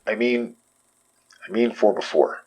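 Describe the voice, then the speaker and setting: An actor playing a caring, wry man in a horror audio drama, with halting, sincere, naturalistic delivery. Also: fairly low pitch, a little mellow, breathy edge, no room sound, poor audio. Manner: halting, sincere, dry humour. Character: caring, wry, apologetic ordinary man in supernatural trouble.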